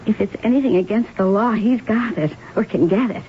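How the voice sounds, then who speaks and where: Female, high voice, acting a worldly gangster's daughter in 1970s radio drama; thin, lightly noisy broadcast sound. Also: brisk, muffled recording, close to an everyday voice. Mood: cool, scheming. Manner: slangy, familiar, hard-boiled. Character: worldly, scheming young mistress, gangster's daughter.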